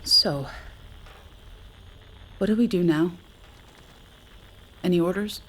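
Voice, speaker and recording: An actress playing a wry, talkative astronaut facing doom in an audio drama, sardonic and conversational in manner. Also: female voice, on the low side, takes it slowly, poor audio. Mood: wry, bitter. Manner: sardonic, conversational, hesitant asides. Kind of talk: naturalistic audio drama acting.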